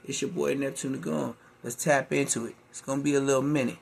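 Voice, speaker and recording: A man's voice: medium pitch, fairly even intonation, fast, vocal fry, slight background noise. A man hosting a reaction video, talking in laid-back slangy street talk. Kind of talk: casual commentary.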